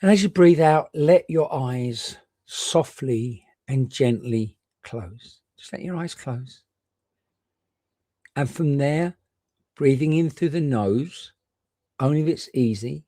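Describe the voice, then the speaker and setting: A man gently and reassuringly guiding a meditation, leaving unhurried pauses between short phrases. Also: mid-range voice, no room sound, clean studio sound. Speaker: meditation guide. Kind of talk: guided meditation.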